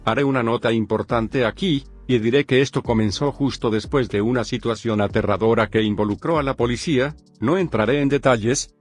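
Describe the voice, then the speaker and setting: A man with a mid-range voice narrates a first-person story at an even, measured pace. Soft music runs quietly beneath him. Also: mid-range voice. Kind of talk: reading a forum story aloud. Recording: faint background music.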